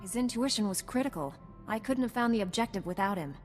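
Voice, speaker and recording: A woman's voice: a little high, expressive, fast, close to an everyday voice. A woman playing a composed, dutiful and earnest young Jedi knight in a video game. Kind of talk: scripted video game cutscene acting. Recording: long hall reverb, faint music.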